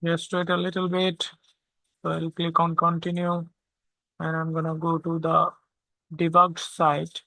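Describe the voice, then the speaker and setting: A tutorial instructor walking through software step by step, often checking in with a short questioning tag. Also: medium pitch, completely flat delivery, measured pace, vocal fry, long pauses, dry recording, rough recording. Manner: checks understanding with frequent "okay?".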